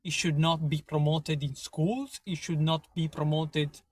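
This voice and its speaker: A man with an Italian accent talking to his viewers in a casual monologue, halting often with fillers and restarts. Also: a little high, fairly even intonation, breathy edge, dry recording. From Italy. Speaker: vlogger. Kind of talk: casual opinion monologue to camera.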